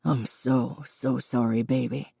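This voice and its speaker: A woman voicing a gentle, remorseful older father in an audiobook, quiet and earnest. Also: very deep voice, a little slow, slightly rough, dry recording, full character voice. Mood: apologetic. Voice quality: reedy, quiet. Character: remorseful, loving father of grown children, older man. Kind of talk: audiobook character dialogue.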